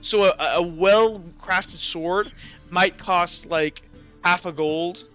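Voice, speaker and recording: High-pitched halting man running a tabletop game. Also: fairly even intonation, pushed and bright, dull audio, faint music behind. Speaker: game master. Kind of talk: casual tabletop game narration and table talk.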